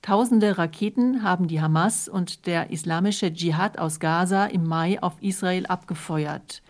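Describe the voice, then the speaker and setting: A woman's voice: on the low side, measured pace. A woman from Germany, co-hosting and narrating a scripted radio feature. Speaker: co-host of a radio programme.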